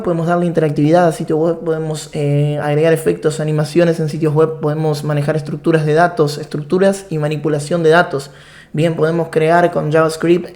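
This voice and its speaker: A man's voice: on the high side, a little mellow. A man with an Argentine accent giving an online programming lesson, explaining in a friendly way with frequent "eh" fillers. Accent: Argentine Spanish. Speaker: programming course instructor. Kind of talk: online course lecture.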